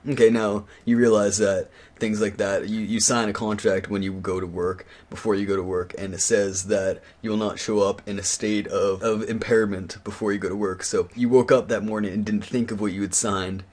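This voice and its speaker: A man playing a stern, accusing attorney on a prank call, questioning in an official but halting way that repeats words. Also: on the low side, flat delivery, a little mellow, close to an everyday voice. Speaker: prank caller. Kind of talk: interrogation on a prank phone call.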